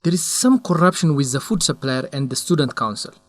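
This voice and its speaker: A man's voice: on the high side, lively intonation, brisk, no room sound, lightly acted. An actor playing an earnest young male student in a radio drama. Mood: grave, conceding. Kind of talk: scripted radio drama acting.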